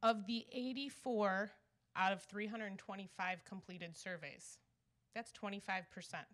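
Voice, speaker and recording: A woman's voice: medium pitch, steady tone, a little slow, a bit bright. A woman in her thirties giving public comment at a meeting, her voice nervous and a little shaky. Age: 30s. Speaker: resident and teacher speaking at public comment.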